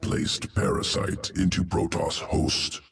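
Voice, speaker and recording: A man's voice: sits low, steady tone, takes it slowly, distant mic, full character voice. An actor playing a cold, clinical male alien creature scientist who speaks in clipped fragments without small words. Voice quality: gravelly, gurgling alien voice.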